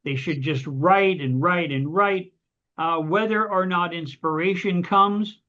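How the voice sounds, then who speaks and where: High-voiced man host on lo-fi audio. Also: measured pace, pushed and bright. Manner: friendly, rambling questions with restarts. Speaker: interview host. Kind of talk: casual interview questions. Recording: dull lo-fi audio.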